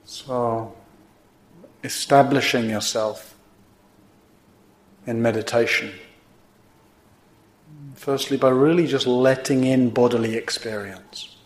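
A man teaching a guided meditation in gently instructive, unhurried phrases with pauses between them. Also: medium pitch, fairly even intonation. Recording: roomy, echoing indoor sound.

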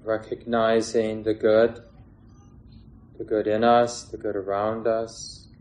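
A man leading a guided meditation as a teacher, speaking gently in short phrases with long pauses between them. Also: fairly low pitch, monotone. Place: meditation hall with a gathered group. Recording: clear background noise, room ambience.

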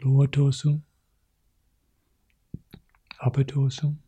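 A male monk guiding a meditation in soft, short phrases that often sink into a whisper. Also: mid-range voice, monotone, slow, breathy edge. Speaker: Buddhist monk leading meditation. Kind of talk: guided meditation.

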